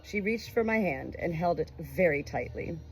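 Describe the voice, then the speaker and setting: A woman narrating a memoir aloud in an expressive, emotive way. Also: on the low side, moderate pace, soft background music, low-quality recording. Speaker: audiobook reader.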